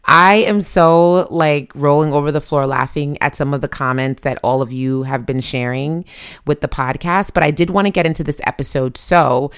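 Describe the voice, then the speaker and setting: Podcast host, woman, middle-aged, lower register, informal and chatty delivery. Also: normal speed, clear, resonant tone. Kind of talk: casual podcast chat. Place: home office with windows open.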